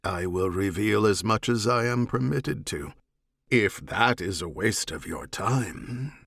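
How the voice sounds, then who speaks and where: A man voicing a cool, evasive alien oath-bound hunter with a measured, formal manner in a science fiction audiobook. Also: lowish voice, expressive, gravelly, a bit bright, slightly rough, dry recording, clean studio sound, heavily acted. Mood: coolly defiant.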